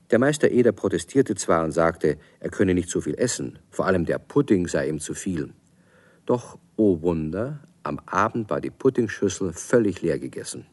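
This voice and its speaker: A male narrator with a low-pitched voice tells a children's story. He reads scripted radio play narration in complete, storybook sentences. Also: darkish tone.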